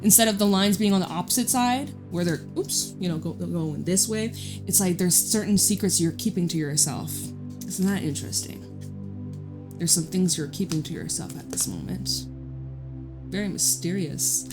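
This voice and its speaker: A woman giving a casual tarot reading in a loud, chatty voice, rambling off into side stories. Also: lowish voice, expressive, moderate pace. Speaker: tarot reader. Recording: guitar music playing behind. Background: guitar music.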